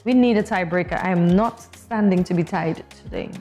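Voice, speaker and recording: A woman's voice: lowish voice, brisk, warm tone, faint music behind. A woman co-hosting a morning show, chatting casually with playful, competitive banter. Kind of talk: casual studio chat.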